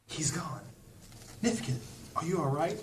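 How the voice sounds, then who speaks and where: A man's voice: on the high side, animated, moderate pace, a little hiss or hum, natural voice. An actor playing an anxious, questioning male adventurer in a fantasy audio drama, sounding worried. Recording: roomy echo, faint music.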